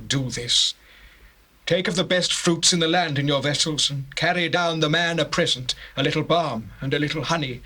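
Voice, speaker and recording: A man's voice: a little high, sharp tone. An actor playing a grieving, anxious old father in a dramatized scripture, declaiming solemnly in archaic English. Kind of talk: dramatized scripture, stage-like acting.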